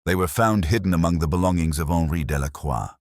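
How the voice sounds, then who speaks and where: A man's voice: low voice, fast talker, a little mellow, dry recording, studio quality. A man voicing a grim, impatient police inspector in a storybook mystery, blunt and insistent.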